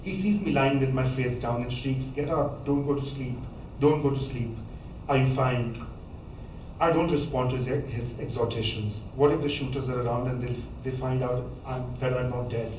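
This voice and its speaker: A man, reading a memoir passage aloud at a measured pace, now and then stumbling and restarting a word. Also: mid-range voice, darkish tone, slight background noise. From Pakistan. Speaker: author reading from his book. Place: college lecture hall. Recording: echoey hall, voice from a distance, faint ambience.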